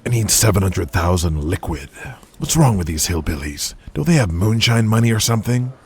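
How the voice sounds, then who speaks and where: Man playing proud wrestling boss, deep voice, dry, haughty, stubborn, audio drama. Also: expressive, moderate pace, a little mellow. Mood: stubborn, angry.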